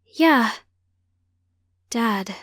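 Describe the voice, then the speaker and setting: A woman's voice: fairly high pitch, animated, drawn-out words, no echo, studio quality. A woman voicing an earnest, slightly sheepish grown daughter in an audiobook story. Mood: hesitant. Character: earnest young transgender woman, a teacher, confiding in mother.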